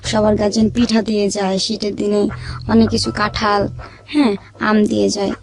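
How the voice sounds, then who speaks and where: A woman's voice: medium pitch, flat delivery, moderate pace, creaky, darkish tone. A young woman tells a personal life story, repeating phrases as she goes. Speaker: guest telling her life story. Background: background music.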